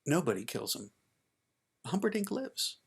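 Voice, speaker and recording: A man voicing a patient, reluctant father in a story, reasoning with his son in a measured, earnest way. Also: a little high, wildly swooping pitch, creaky, husky, voice pushed up, heavily acted.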